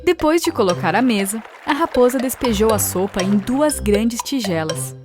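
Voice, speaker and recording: Female storyteller, Brazilian accent, somewhat high pitch, scripted narration for young children over a music bed. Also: expressive, moderate pace.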